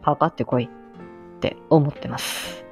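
A woman's voice: extremely low pitch, steady tone, measured pace, creaky, soft background music. A woman hosting a casual livestream, talking in a humble, effusive and playfully feisty way. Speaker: livestream host, amateur voice actor.

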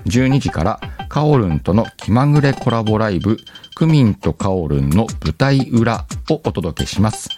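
A man's voice: on the low side, big pitch swings, normal speed, few pauses, a little mellow. A man hosting a casual show, rambling as he chats and reads listener letters, with music behind him. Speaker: host.

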